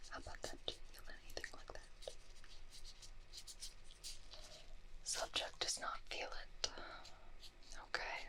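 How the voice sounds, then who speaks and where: A woman's voice: normal speed, close to an everyday voice, poor audio. A woman playing a fascinated, clinical doctor in a whispered fantasy ASMR roleplay, methodically murmuring notes and questions to a patient. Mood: attentive, probing.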